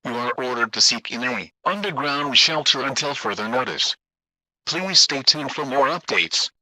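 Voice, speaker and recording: Give a man's voice for an emergency broadcast announcement, formal and stiff. The tone is extremely bright and cutting, and the sound is dry and close, with no room around it. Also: medium pitch, animated, normal speed, dry recording, low-quality recording. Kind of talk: scripted emergency alert announcement.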